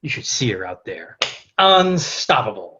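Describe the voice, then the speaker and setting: A man's voice: on the low side, sing-song, a little slow, noisy background. An actor playing a cocky, foul-mouthed young French king who gloats and swaggers like a stage showman, over a lo-fi online call. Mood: smug, triumphant. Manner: boastful, indignant, swaggering. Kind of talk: theatrical comic monologue in a table read. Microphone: video call.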